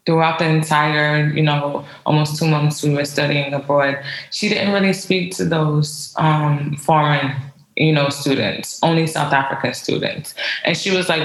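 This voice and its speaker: A woman with an American accent talking conversationally as an interview guest, telling personal stories in an informal, emphatic way. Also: deep voice. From United States. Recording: roomy, echoey sound.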